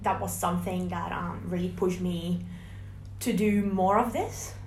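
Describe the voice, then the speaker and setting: A woman's voice: on the low side, fairly even intonation, a little slow, darkish tone, a little hiss or hum. Chatty young woman. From Hungary. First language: Hungarian. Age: young adult. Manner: chatty, laughing, anecdotal storytelling. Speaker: interview guest, graduating student. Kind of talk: casual chat. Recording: echoey room.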